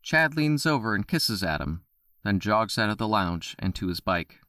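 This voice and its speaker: A man narrating an audio drama in a steady storytelling voice. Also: lowish voice, moderate pace, no echo, studio quality.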